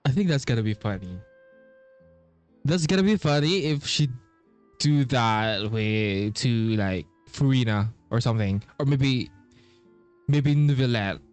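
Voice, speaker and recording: Male game streamer, casual and hesitant, unhurried delivery, faint music behind. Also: mid-range voice, animated. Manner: repetitive, hesitant phrasing. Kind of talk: casual playthrough commentary.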